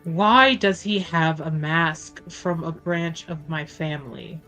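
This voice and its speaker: A woman with a lowish voice who speaks very slowly and deliberately. She is roleplaying at a tabletop game, leaving space between phrases, over faint background music. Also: pushed and bright. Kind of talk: in-character roleplay, measured delivery.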